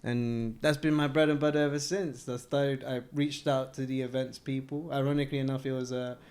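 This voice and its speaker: A young man talks through his story in a loose, easygoing interview. His voice is smooth and resonant at an ordinary pitch, and he rambles a little and laughs often. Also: level tone, brisk, a little mellow. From Colombia. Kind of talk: casual interview chat.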